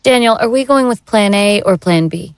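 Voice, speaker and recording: A woman's voice: medium pitch, animated, normal speed, smooth, no room sound, lightly acted. A woman playing a matter-of-fact occult investigator in an audio drama.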